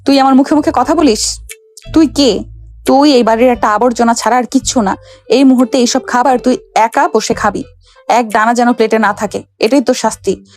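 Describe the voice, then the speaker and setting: Haughty young boss woman played by an actress, high voice, scolding, imperious, audio drama, music underneath. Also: moderate pace, darkish tone, natural voice. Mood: furious. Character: haughty, cruel young rich woman boss berating her servant. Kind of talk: Bengali audio story acting.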